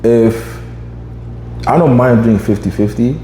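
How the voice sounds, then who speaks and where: A man's voice: mid-range voice, takes it slowly, mellow, echoey room, big-room sound, a little hiss or hum, rough recording. A man in his twenties hosting a podcast, chatting casually and rambling with lots of fillers. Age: 20s. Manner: rambling, many fillers like "you know what I mean". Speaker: podcast host.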